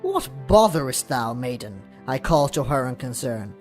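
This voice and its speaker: A woman's voice: a bass voice, big pitch swings, normal speed, darkish tone, faint music behind. A woman playing a solemn, self-important ancient scribe, telling a chronicle in archaic, theatrical language for an audio drama. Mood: solemn, grand.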